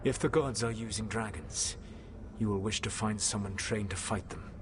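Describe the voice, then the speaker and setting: A man's voice: fairly low pitch, noisy background, lightly acted. A man playing a grave, formal elven god. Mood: measured, counselling. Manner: measured, formal, grave, deliberate. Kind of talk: video game cinematic acting.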